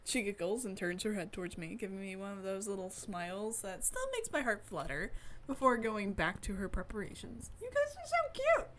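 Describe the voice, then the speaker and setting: A giggly, excitable woman streamer reading a visual novel aloud and gushing over it. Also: medium pitch, normal speed, slight background noise. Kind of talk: reading game story aloud with gushing reactions.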